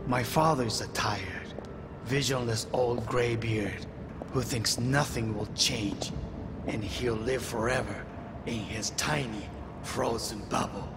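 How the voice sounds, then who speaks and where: An actor playing a haughty, bitter middle-aged corporate heir in a video game, with formal, measured diction. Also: male voice, medium pitch. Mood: bitter, contemptuous. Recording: long reverb, clear background noise.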